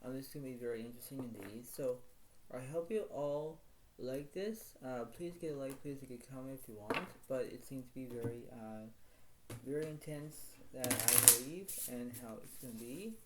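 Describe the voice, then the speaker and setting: Quiet, rambling male tarot reader. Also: moderate pace, very noisy. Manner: rambling and repetitive, circling back to stock phrases; talks quietly, apologizes for his low voice. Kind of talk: casual spoken tarot reading.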